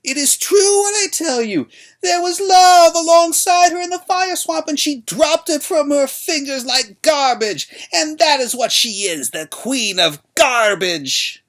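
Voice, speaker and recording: A man reading a storybook aloud, voicing a withered, bent old woman who yells slow, drawn-out accusations. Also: extremely high pitch, expressive, voice pushed up, exaggerated voice. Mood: furious accusing. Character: shrieking ancient crone, withered and bent, accusing.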